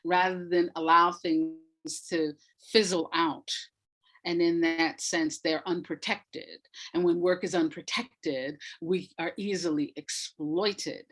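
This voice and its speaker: A woman speaking as an interview guest, reflective and emphatic, deliberately repeating words to stress her points. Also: lowish voice, fairly even intonation, fairly bright tone. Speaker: interview guest, audio drama writer.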